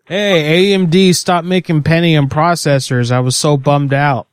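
A man's voice: on the high side, steady tone, measured pace, clean tone, no room sound, studio quality. A man making a prank call, talking in a crude, mocking fake-salesman patter. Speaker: prank caller.

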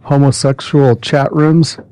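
A man joining a prank call, taunting in a deadpan way. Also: mid-range voice, slow pace, clean tone, low-quality recording.

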